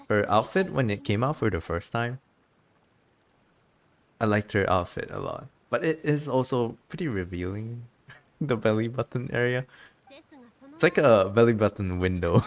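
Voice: medium pitch, sing-song, a little slow, pauses often, darkish tone. A streamer chatting casually over a game, with a giggly, easygoing way of commenting.

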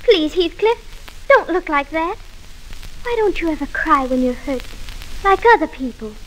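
High-voiced little girl Cathy. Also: lively intonation, measured pace, poor audio. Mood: coaxing, earnest. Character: young Cathy, imaginative, coaxing, devoted little girl. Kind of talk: 1940s radio acting. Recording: faint noise on old radio recording.

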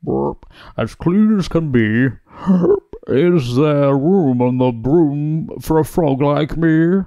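A polite, eager frog from a children's storybook, put on by a narrator. It croaks in punctuated phrases that leap about in pitch, in a dry recording. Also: lively intonation, slow, dark tone, no echo.

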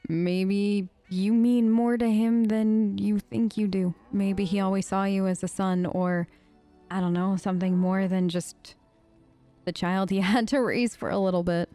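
Clear-voiced woman role-playing casually. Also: mid-range voice, fairly even intonation, a little slow, warm tone, faint music behind. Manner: informal, tentative, thinking aloud. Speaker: gamer role-playing her character. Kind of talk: casual improvised role-play commentary. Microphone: close microphone, voice-over on gameplay.

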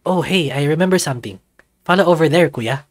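A man voicing an affectionate, excitable young male otter in a visual novel. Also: a little high, brisk, dry recording, pitched above the performer's own voice, lightly acted. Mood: excited.

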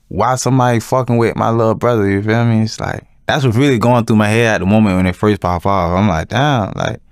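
A young man answering interview questions casually, with slangy, halting, repetitive phrasing. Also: on the low side, steady tone, smooth, dry recording, clean studio sound. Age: young adult.

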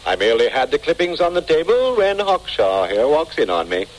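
Fussy male bon vivant, animated pitch, pompous, theatrical, faintly noisy old radio recording. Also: brisk. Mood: effusive. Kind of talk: 1940s radio drama acting.

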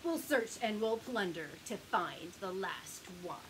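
A woman's voice: medium pitch, animated, unhurried, background noise, pitched below the performer's own voice, lightly acted. A woman voicing a tired, grumbling pirate crew in a children's storybook reading.

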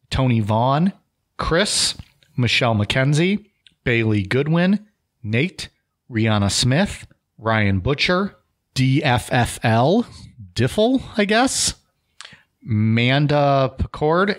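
A young man hosting a casual podcast, joking with his co-hosts and reading out listener shout-outs. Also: mid-range voice, sing-song, slow pace. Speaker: podcast host.

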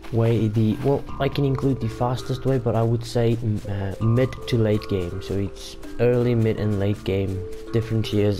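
A young man whose first language is Afrikaans, casually commenting over gameplay with many fillers and restarts. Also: on the low side. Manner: rambling, frequent fillers and restarts. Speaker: gaming YouTuber.

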